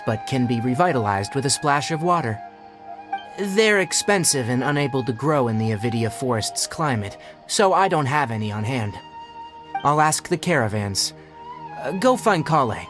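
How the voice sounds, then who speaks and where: A composed young male forest ranger acted by a man from a video game. He sits at a medium pitch and explains matters bluntly and articulately, like a practical healer. Soft music plays behind him. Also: animated, moderate pace, lightly acted. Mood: calm, instructive. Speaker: forest watcher. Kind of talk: English game dub dialogue.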